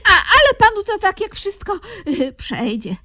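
A woman's voice: very high-pitched, very animated, a little slow, sharp tone, heavily acted. An actress playing a flustered, long-suffering woman in a Polish radio comedy, stammering and repeating her words. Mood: weary resignation.

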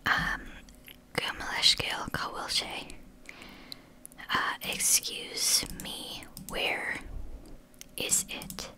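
A woman, whispering casually through language-app practice, halting and swearing now and then. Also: bright, forceful tone. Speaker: language learner.

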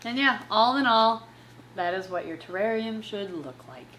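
A young woman chatting casually and reading aloud as a reptile channel presenter, with an animated, playfully sarcastic manner. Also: mid-range voice, normal speed, a little hiss or hum, poor audio. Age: young adult. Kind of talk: casual chat with read-aloud passages and commentary. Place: living room couch at home, indoors.

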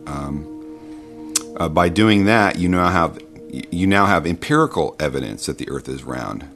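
Very deep-voiced man explaining over music. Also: animated, a little slow, a bit bright. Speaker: video narrator explaining science.

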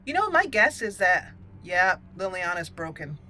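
A woman hosting a casual let's play, chatty and expressive as she talks to the game characters. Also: fairly low pitch, moderate pace. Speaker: gaming channel host. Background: game music.

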